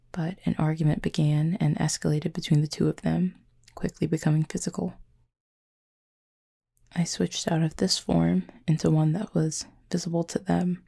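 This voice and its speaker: A woman playing a gentle, formal, wounded cupid in an intimate audio roleplay, speaking softly in measured, breathy phrases. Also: deep voice, full character voice. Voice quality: husky, breathy, creaky. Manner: measured, formal, soft-spoken with breathy pauses.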